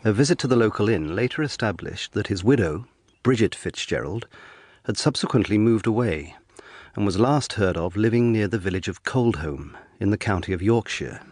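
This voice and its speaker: Low-voiced young lawyer. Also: male voice, expressive, a little mellow. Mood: musing, intrigued. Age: young adult. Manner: measured, literary, musing. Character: earnest, curious young male lawyer recounting his tale. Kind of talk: period audio drama narration.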